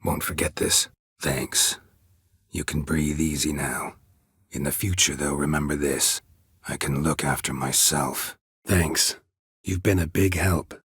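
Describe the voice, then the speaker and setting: An American man voicing a stoic, world-weary, middle-aged monster hunter in a video game, with a gravelly, husky voice and a deadpan, clipped delivery. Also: extremely low pitch, unhurried, no room sound, clean studio sound, full character voice. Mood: dry, polite. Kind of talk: video game character dialogue lines.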